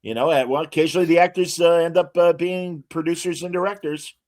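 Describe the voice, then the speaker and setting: A man co-hosting a casual podcast, reading out actor credits with frequent hesitant pauses and showman asides to the audience. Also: fairly high pitch, fairly even intonation, a little mellow. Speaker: podcast co-host.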